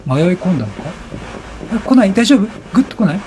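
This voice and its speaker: A man giving excited game commentary, exclaiming and repeating words rapidly as he reacts. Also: high-pitched, a little hiss or hum. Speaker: let's play gamer. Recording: game music behind the voice. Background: video game music.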